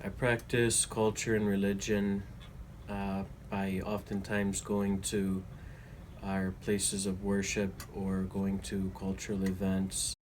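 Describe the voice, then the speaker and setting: Man, 30s, Ohio-raised interviewee: very low, monotone, measured and earnest, noisy recording. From Toledo, Ohio, US. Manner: measured, earnest, some hesitations. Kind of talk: reflective interview answers. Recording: steady background noise.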